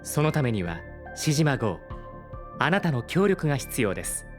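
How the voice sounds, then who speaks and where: AI character, acted, audio drama: polite, measured, unemotional, medium-pitched, over clear background music. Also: sing-song, lightly acted. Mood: calm, courteous. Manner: formal, polite, measured speech. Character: polite, formal artificial intelligence, calm and unemotional. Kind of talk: scripted audio drama acting. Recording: music behind the voice.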